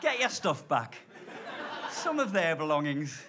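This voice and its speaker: High, lively Geordie comedian on stage. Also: male voice, a little slow, heavy background noise. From Blyth, northeast England. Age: 30s. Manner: animated, swearing, laughing storyteller. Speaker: stand-up comedian. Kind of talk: stand-up set. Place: live comedy club. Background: audience laughter.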